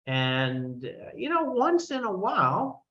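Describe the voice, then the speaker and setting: A man's voice: high-pitched, wildly swooping pitch, slow, dull audio. A man in his sixties talking informally as a financial advisor, explaining things in a conversational, emphatic way and repeating himself to make a point. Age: 60s.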